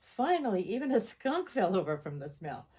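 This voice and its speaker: A woman reading a picture book aloud to children in an expressive, animated storytelling style. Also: medium pitch, moderate pace, breathy edge. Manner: animated, repeats sound words.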